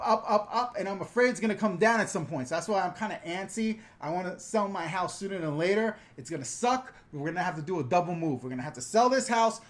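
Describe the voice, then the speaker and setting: A middle-aged man from the New York area with a fairly high voice chats through viewer questions on a live stream, recorded on a GoPro camera. He talks loosely and jokes around, laughing often between answers. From New York/New Jersey area. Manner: chatty, joking, laughs often.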